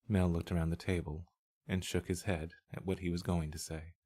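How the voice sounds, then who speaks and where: A man's voice: deep voice, flat delivery, normal speed, warm tone, husky. A man working as a voice-over artist, reading scripted literary narration.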